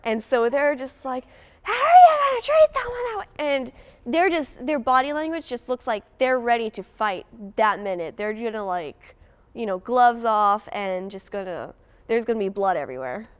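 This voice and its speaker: A woman's voice: on the high side, normal speed, smooth. A teenage young woman telling casual anecdotes in an interview, expressively acting out what people said. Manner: expressive, acts out quotes. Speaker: student in a theater cast.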